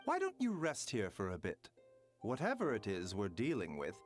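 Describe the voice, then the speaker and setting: Courteous doctor with swooping intonation. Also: male voice, fairly low pitch, wildly swooping pitch. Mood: thoughtful. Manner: measured, formal, theatrical. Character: calm, courteous, soft-spoken male doctor, measured and learned. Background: game music.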